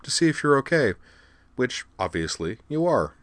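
Casting: smug young woman read by a man, brash and teasing, lifted pitch, storybook narration style. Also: very animated, moderate pace, a bit bright, no echo. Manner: sarcastic, casual slangy talk.

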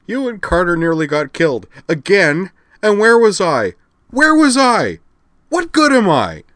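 A man's voice: high-pitched, big pitch swings, a little slow, a bit bright, dry recording, studio quality, voice pushed up, full character voice. A man voicing a fiery, distraught young woman who is fiercely loyal, in an emotional, vehement audiobook performance. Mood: tearful, furious. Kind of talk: audiobook character voice.

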